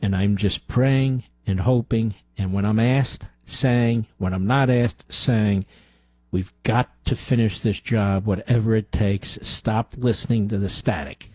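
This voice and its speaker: A man's voice: on the low side, fairly even intonation, a little slow, darkish tone, dry recording. A man hosting a call-in talk radio show, speaking emphatically and speeding up in bursts. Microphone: studio microphone.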